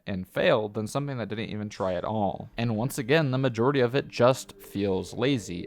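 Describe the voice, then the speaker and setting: A man narrating a scripted, opinionated review as a video reviewer. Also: mid-range voice.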